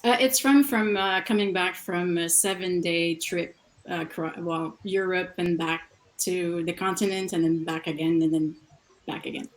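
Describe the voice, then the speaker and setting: A woman's voice: on the low side, fairly even intonation, a little slow, rough recording. Giggly French Canadian woman on a video call. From Montreal, Canada. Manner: giggly and chatty. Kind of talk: casual chat.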